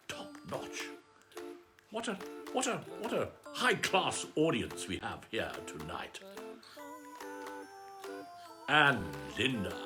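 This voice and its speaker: A performer voicing a pompous aristocratic cat lord, gushing theatrically and affectionately as host of a live bedtime story show. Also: medium pitch. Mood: gushing, pleased. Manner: pompous, gushing, luvvie exclamations. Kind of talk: theatrical livestream greeting and shout-outs.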